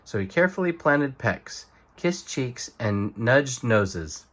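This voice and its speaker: Male narrator for a children's picture book: mid-range voice, very lively intonation, bedtime read-aloud. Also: mid-range voice, takes it slowly. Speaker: storybook reader. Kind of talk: expressive bedtime story narration.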